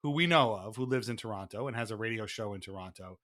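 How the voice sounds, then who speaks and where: A man hosting a podcast, talking casually about hockey in a rambling, animated, conversational way. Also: on the low side, quick pace. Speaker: podcast host.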